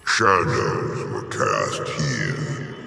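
A man voicing an aged, grandiose, bitter alien emperor in a video game, pompous and theatrical with a gravelly, booming voice. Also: big pitch swings, very slow, sharp tone, full character voice. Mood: brooding. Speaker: game villain. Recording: cavernous reverb, music underneath. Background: game music.

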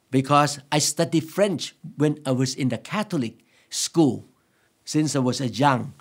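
A man in his sixties from Thailand with a Thai accent, preaching to camera as a pastor in an earnest, emphatic, deliberate way. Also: medium pitch. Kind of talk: sermon to camera. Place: quiet indoor studio set. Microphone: lapel microphone.

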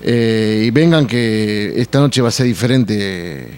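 A middle-aged man inviting people to a show, halting with many fillers. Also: lowish voice, lively intonation, a little mellow, smooth. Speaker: venue owner. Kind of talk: casual promo chat.

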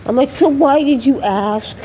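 A woman's voice: high voice, moderate pace, warm tone. A woman with a congested cold chatting casually while walking outdoors, rambling and laughing as she tells stories. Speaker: beauty vlogger. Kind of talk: casual walking vlog chat. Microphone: handheld phone camera. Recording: noisy outdoor phone recording.

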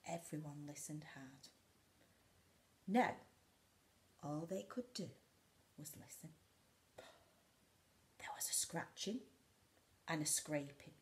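A woman reads a children's story aloud, taking her time. Her slightly low voice swings widely in pitch, and she plays up the drama with hushed, whispered passages. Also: expressive, slow pace, long pauses. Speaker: school storyteller. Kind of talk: expressive picture-book read-aloud to young children. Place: living room indoors by a window.

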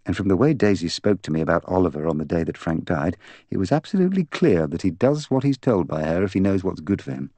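A man's voice: low voice, very animated, fast talker, warm tone, no room sound. A man voicing a proud, bitter young woman in an audiobook, candid, articulate and intense. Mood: scornful. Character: proud, bitter young woman confessing to killing her fiancé. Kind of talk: audiobook character dialogue.

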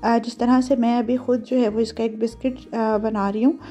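Female home-cook narrator, fairly high but very soft-toned voice, conversational step-by-step instruction with English phrases mixed in. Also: flat delivery, moderate pace, soft background music. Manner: mixes English words and phrases into her speech. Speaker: home cooking show host. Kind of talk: instructional recipe voice-over, conversational.

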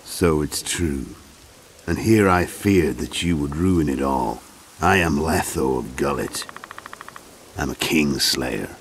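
Hulking assassin, extremely deep voice. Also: male voice, a little hiss or hum, exaggerated voice. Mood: smug, calm. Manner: deliberate, coolly confident. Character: hulking, calm, menacing male assassin. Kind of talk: video game acting.

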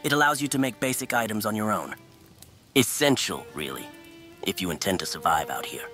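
Casting: male actor as polite nomad trader for comic dub, salesmanlike, bright, very varied pitch. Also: expressive, brisk. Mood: helpful salesmanship. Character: courteous, shrewd male wandering merchant. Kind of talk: manga comic dub acting. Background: music under the dialogue.

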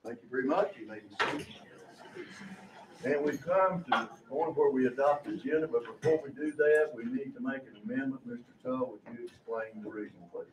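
A man's voice: medium pitch, fairly quick. Older male board chair, formal procedure, heard through a dull, echoing room pickup. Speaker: board chair running a meeting. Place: large meeting room, indoors. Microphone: distant room microphone. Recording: dull, roomy, distant mic, faint noise.

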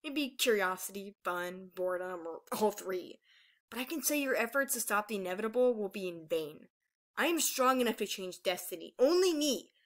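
A woman's voice: medium pitch, lively intonation, normal speed, fairly bright tone, lightly acted. A woman voicing a menacing old female dragon villain in a fantasy story, taunting and grandiose. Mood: gloating.

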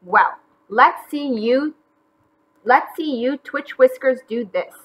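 A woman's voice: sits high, takes it slowly, fairly bright tone, pitched above the performer's own voice, full character voice, rough recording. A woman reading a picture book, voicing a grumpy, blustering man who exclaims indignantly. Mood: sputtering, indignant. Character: grumpy, blustering man feuding with bunnies at the beach.

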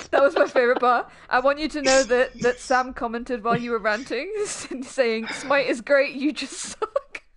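A woman's voice: high voice, noisy background. A young woman with a Yorkshire accent, streaming a game and teasing her friend with lots of laughter. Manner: giggly, teasing, quick to laugh. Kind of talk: casual gaming chat. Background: game music.

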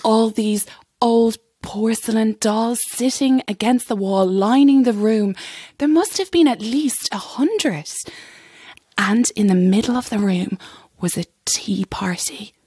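A woman's voice: fairly high pitch, slow, mellow, breathy edge, no echo. An actress playing a nervy young chorus girl telling a ghost story in an audio drama, chatty and suspenseful. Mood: hushed, spooked. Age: young adult.

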